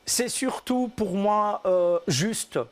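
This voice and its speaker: High-pitched earnest man. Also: extremely slow. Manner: earnest, emphatic, repeats key points. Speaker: theatre director and actor. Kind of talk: studio interview. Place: TV studio.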